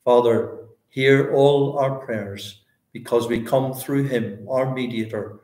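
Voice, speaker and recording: A man in his sixties with a Northern Irish accent, a pastor praying aloud in a solemn, earnest way, pausing phrase by phrase. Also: mid-range voice, steady tone, a little mellow. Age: 60s. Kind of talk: extempore public prayer, church service. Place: indoors, small bare-walled room. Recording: echoey room.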